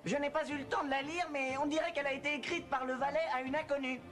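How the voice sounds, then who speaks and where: A high, officious animal character from a cartoon. The fussy delivery sits over faint music. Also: steady tone, fast talker, fairly bright tone. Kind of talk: French-dubbed cartoon acting.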